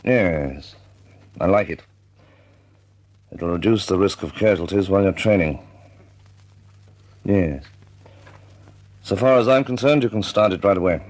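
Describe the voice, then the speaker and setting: A man playing a measured, shrewd senior air force commander in a wartime radio drama. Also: deep voice, lively intonation, a little mellow. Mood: measured, grave.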